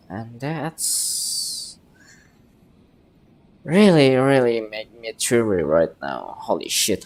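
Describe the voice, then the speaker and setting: A man giving casual live reaction commentary as a game streamer, halting and sweary. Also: medium pitch.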